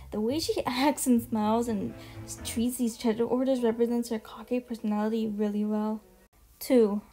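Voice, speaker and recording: A woman's voice: on the high side, mellow. A woman giving casual video commentary as she presents a ranking, gushing and emphatic, with casual swearing. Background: music under the voice.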